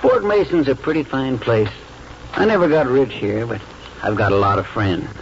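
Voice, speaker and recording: An actor playing a gentle, ailing old country lawyer, halting, folksy and wistful, in 1940s radio drama style. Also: male voice, mid-range voice, lively intonation, lightly acted. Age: older. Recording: dull 1940s radio recording, faint noise.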